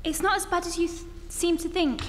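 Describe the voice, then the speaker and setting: A woman playing a worried, loving, gently persuasive sweetheart in an old-time radio drama, earnest and pleading, on stage in a hollow, hissy hall. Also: extremely high pitch, fairly even intonation, a little fast. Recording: hollow hall recording with hiss.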